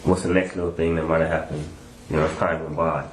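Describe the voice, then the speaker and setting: American cop guy, real low voice, casual probing questions, echoey room w a bit of noise. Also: flat delivery, fast. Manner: colloquial, probing short questions. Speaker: police interrogator. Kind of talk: informal questioning. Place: indoor police interview room. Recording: echoey, noisy interrogation room audio.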